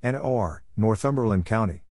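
A man giving a scripted read-out as an instructor, spelling letters and saying names in short, measured, separate phrases. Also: fairly low pitch, lively intonation, a little mellow. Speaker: Morse code practice instructor. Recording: noisy recording with clicks.

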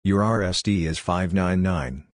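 Low-pitched man reading short phrases. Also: measured pace, no echo, clean studio sound. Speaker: narrator of a Morse code practice drill.

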